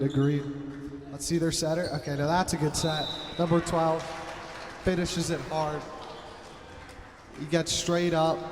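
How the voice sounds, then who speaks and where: A young man calls a school volleyball game play-by-play, loud, chatty and joking, in a somewhat high voice. He sits in an echoey gym with a long ringing reverb. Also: background noise. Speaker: play-by-play commentator. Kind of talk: amateur sports commentary.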